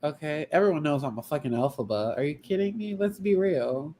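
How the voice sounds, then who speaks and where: A woman's voice: low-pitched, animated, fairly quick, warm tone, slight background noise. A woman in a casual group chat, steering the talk in a brash, blunt, sweary way. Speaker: podcast panelist steering the talk.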